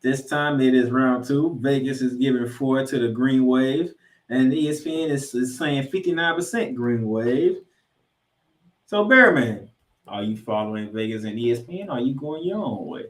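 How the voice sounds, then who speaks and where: A man co-hosting a sports podcast, previewing games and picks with drawn-out, emphatic announcer-style introductions. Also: medium pitch. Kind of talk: college football game previews and picks. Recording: roomy, echoey sound.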